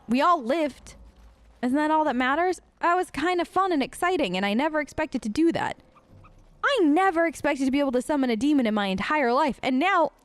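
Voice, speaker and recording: A woman giving chatty, excitable gameplay commentary as a streamer, talking straight to her viewers. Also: high voice. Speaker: let's play streamer.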